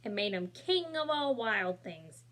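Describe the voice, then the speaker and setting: A woman's voice: mid-range voice, measured pace. Woman reading a picture book aloud. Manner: gentle, talks to young listeners; expressive, voices the characters. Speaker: storybook reader. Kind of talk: children's read-aloud with asides.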